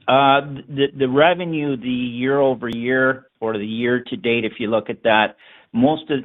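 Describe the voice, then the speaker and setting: A man answering analyst questions as a company finance executive, halting and often repeating his words. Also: mid-range voice. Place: conference call. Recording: narrow, tinny phone line.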